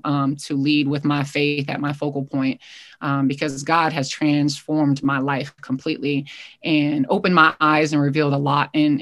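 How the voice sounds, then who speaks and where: A young American woman talks conversationally as a coach in an interview. Her voice sits low and moves evenly, with little rise and fall. She sounds earnest and reflective, in a dry recording free of room sound. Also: no echo. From Hawaii, United States. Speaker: basketball coach, interview guest.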